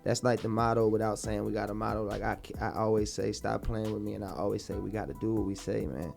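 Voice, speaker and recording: A young man speaks in a laid-back, rambling way, often circling back on his own words. His voice has a gravelly, creaky edge. Faint music plays behind him. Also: lowish voice, fairly even intonation, quick pace, darkish tone. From Baltimore, born in Dallas. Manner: laid-back, repeats himself a lot. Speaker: rapper guest. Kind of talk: casual interview talk.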